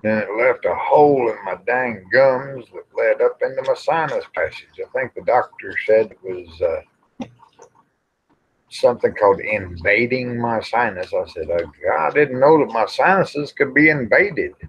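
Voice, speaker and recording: A man rambles casually to livestream viewers in a folksy, grumbling way, pausing often. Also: medium pitch, animated, husky. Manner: folksy, grumbling, drawn-out with pauses. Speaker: livestream host. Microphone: small microphone near the face. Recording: dull, muffled livestream audio.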